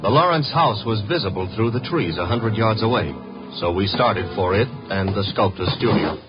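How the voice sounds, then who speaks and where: A man's voice: on the low side, expressive, moderate pace, loud music behind the voice, lightly acted. An actor playing a brash, wisecracking detective's legman with an American accent in a 1950s radio drama. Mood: matter-of-fact. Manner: breezy, wisecracking, plain-spoken. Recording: dull, noisy 1950 radio recording.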